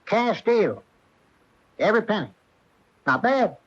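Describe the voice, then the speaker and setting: An actor playing a pragmatic, easygoing small-town mayor in a radio mystery drama, with a colloquial, placating way of talking. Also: male voice, high voice, big pitch swings, takes it slowly, heavily acted. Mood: self-satisfied. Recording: muffled, faintly noisy 1980 AM radio broadcast.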